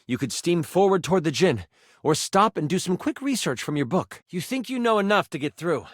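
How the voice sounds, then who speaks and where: Animated, high-pitched male game master narrator. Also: fairly quick, no room sound, clean studio sound. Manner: storytelling, theatrical. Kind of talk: dramatic second-person fantasy narration in a video game.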